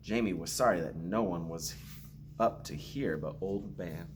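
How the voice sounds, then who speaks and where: Low-voiced man reading a storybook. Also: a little slow, noisy background. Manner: expressive, with playful asides. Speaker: school staff member reading aloud to children. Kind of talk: storybook read-aloud.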